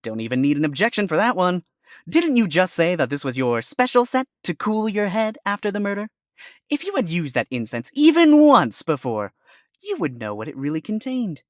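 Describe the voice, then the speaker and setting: A woman voicing a sly male fox defense attorney in an animated courtroom story, sarcastic and theatrical. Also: lowish voice, normal speed, dry recording, clean studio sound. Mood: smug, accusing. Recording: music behind the voice.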